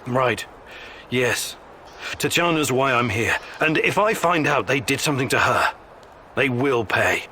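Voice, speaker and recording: An actor playing an earnest, determined male scientist in a video game, speaking in a serious, measured, explanatory manner. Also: mid-range voice, animated, bright, forceful tone, a little hiss or hum. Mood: firm, weary. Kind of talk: video game character acting.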